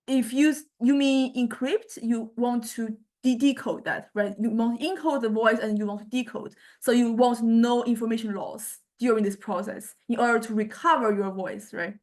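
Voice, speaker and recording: A woman giving an informal, explanatory lecture, often searching for words and checking in with listeners as she goes. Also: a little high. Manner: halting, searching for words, frequent checking "right?".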